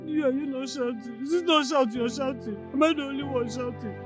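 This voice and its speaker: Actress, young Nigerian bride, tearful, aggrieved, high voice, film drama, music behind. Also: female voice, quick pace, lightly acted. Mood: indignant, tearful.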